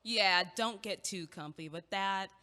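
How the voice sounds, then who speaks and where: An actress in an audio play, playing a wry young woman who teases her friend with quick wit, recorded with a long hall reverb. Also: mid-range voice, bright, forceful tone. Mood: teasing. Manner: teasing, quick-witted delivery.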